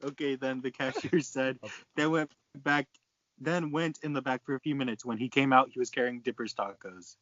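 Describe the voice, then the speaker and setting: A man's voice: mid-range voice, fairly even intonation, normal speed, a bit bright, no room sound. A man casually reading fan fiction aloud, stumbling over lines and breaking off to swear and comment. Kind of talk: casual read-aloud with banter. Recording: dry, dull lo-fi close mic.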